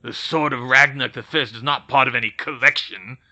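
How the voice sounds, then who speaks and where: A man's voice: medium pitch, brisk, pushed and bright. A man voicing a haughty, scornful male goblin ambassador who growls his words. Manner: growling, contemptuous.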